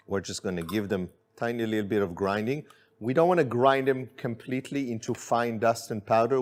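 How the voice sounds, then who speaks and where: A middle-aged man from Israel, chatting easily through a cooking demonstration in his kitchen, with plenty of fillers. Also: on the low side. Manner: relaxed, friendly, with many fillers. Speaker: chef and spice company owner.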